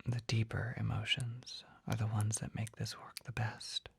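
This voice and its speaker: A man as a breathy, whispering shy young shopkeeper. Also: lowish voice, completely flat delivery, unhurried, no echo, exaggerated voice. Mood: gentle, guiding. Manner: hesitant, gentle, soft-spoken. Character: shy, skittish, kindly young male potion shopkeeper. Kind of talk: whispered ASMR roleplay. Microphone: close ASMR microphone.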